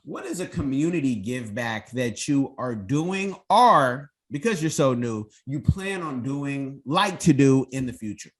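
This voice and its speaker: A man's voice: mid-range voice, expressive, a little slow, few pauses. A man hosts an interview podcast with a mid-range voice. He is chatty and enthusiastic and keeps affirming what his guest says. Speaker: podcast host.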